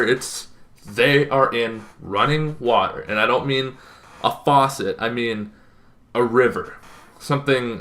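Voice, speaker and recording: A young man talking casually to camera as a gaming video host, emphatic, with dramatic pauses and short punchy phrases. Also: mid-range voice, a bit bright. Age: young adult. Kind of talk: casual explanatory talk to camera. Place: small bedroom, indoors.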